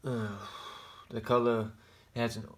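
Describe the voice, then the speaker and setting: Flat-toned American man, noisy home recording. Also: medium pitch, slow. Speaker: hobbyist vlogger showing a radio collection. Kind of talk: casual show-and-tell vlog.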